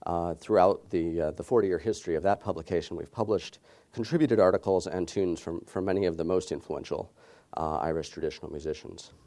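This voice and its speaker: A middle-aged man from the United States giving a conference talk, his voice very low and mellow. He speaks in a measured way, with plenty of "uh" fillers between phrases. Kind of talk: conference presentation.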